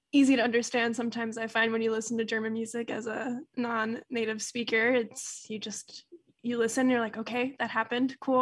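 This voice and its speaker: A young woman co-hosting a podcast, talking casually with lots of likes and restarts. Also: on the high side. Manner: rambling, many likes and restarts. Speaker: podcast co-host.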